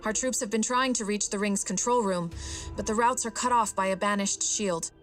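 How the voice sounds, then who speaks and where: A woman's voice: medium pitch, moderate pace, fairly bright tone, faint music behind, natural voice. An actress playing an eager, efficient female ship's officer in a video game. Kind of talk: video game cutscene acting.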